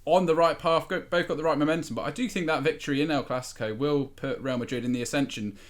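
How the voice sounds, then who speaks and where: A man talking football as a podcast panelist, giving his analysis in a conversational, slightly halting way. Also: on the high side, steady tone. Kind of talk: football discussion, casual analysis.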